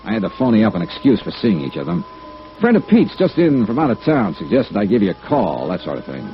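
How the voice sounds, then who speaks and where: A tough blunt private eye, voice swings up and down, muffled old radio sound. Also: male voice, fairly low pitch, sing-song, fairly quick, creaky, faint music behind. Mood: wry narrating. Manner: blunt, probing, hard-boiled. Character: brisk, tough, sharp male freelance insurance investigator. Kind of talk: 1950s radio detective acting.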